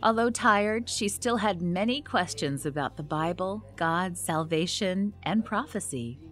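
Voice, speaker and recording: A woman narrates a documentary in a measured, expressive read. Her pitch moves a lot, and faint music plays under her.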